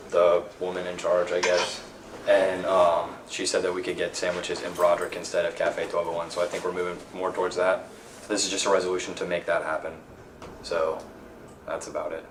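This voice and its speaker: A young man explaining his resolution to a meeting in a loose, hesitant way with fillers. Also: low voice. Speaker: bill sponsor. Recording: echoey room, far mic, noticeable hiss.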